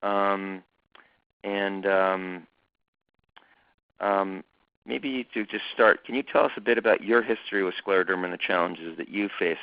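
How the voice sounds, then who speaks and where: Very deep gravelly man, hesitant. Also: no room sound. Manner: measured, hesitant, many fillers. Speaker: foundation chairman and host. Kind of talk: conversational webinar talk. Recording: dull lo-fi dry recording.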